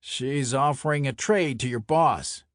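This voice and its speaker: A man's voice: medium pitch, measured pace, dry recording, clean studio sound, lightly acted. A man playing a curt, world-weary criminal enforcer with a short fuse, terse and defiant in dramatic audio fiction. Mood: dry, guarded.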